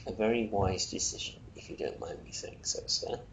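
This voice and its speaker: A man reading a storybook puts on an obliging salesman with a creaky voice. He is deferential, formal and quick to apologise, speaking in a roomy, echoing space. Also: fairly low pitch, steady tone. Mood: pleased, deferential. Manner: polite, formal, fussily apologetic. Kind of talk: storybook character voice. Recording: roomy echo, faint noise.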